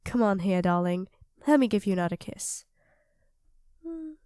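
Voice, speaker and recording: A woman's voice: mid-range voice, lively intonation, normal speed, dark tone, clean tone, no echo, clean studio sound, natural voice. A woman playing an affectionate, playfully teasing young girlfriend in an ASMR audio roleplay, talking expressively and dotingly to her partner. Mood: affectionate, chatty.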